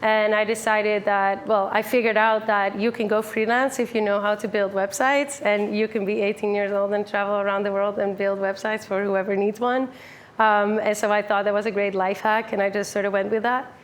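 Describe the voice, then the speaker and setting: A woman's voice: medium pitch, level tone, normal speed, a little hiss or hum. A woman in her twenties from the Netherlands, giving a casual, upbeat meetup talk to a full room, with lots of "like" and "um". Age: 20s. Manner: upbeat, chatty, many fillers like "like" and "um". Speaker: meetup speaker. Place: full room with a live audience.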